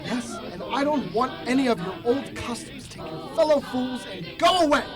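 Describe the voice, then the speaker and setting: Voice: high voice, animated, normal speed, over music, crowd noise. A student actor playing a grumpy, miserly old man in a radio drama, snapping and scornful. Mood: angry. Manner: snapping, bellowing, contemptuous.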